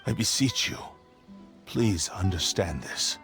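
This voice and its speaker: A man's voice: sits low, expressive, takes it slowly, music in the background, heavily acted. A man voicing a remorseful fallen swordsman in a video game, speaking in a solemn, old-fashioned, pleading way.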